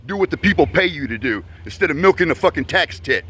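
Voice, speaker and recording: Fast-talking, argumentative American man outdoors. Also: expressive, a bit bright, slightly rough. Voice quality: deep, carrying voice. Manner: argumentative, sweary, insistent. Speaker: citizen activist filming officers. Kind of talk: confrontational street conversation. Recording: noisy outdoor street with vehicle sounds.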